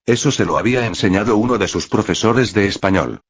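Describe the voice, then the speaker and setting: Hombre de voz muy grave, narrando. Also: male voice, on the low side, a little fast, husky. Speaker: audiobook narrator. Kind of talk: scripted story narration. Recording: muffled, roomy, lo-fi audio.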